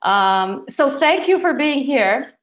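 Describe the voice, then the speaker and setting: A woman's voice: high-pitched, expressive, takes it slowly, lo-fi sound. A woman hosting an informal podcast interview, speaking in an enthusiastic, effusive manner. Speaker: podcast host.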